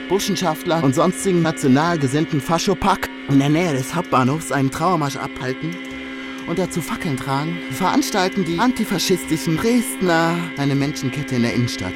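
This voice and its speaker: A man delivering scripted reportage in a dry, ironic manner. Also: a little high.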